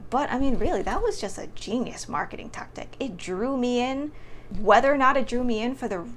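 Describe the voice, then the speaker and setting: A woman giving a casual, expressive book review to camera in an informal, emphatic storytelling way. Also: a little high, fairly quick, a little hiss or hum.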